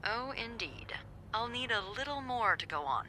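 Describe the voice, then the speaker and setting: An actress playing a wry hotel coworker, speaking in a dry, knowing, teasing way. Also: female voice, fairly high pitch, big pitch swings, moderate pace, sharp tone, slight background noise. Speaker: hotel staff.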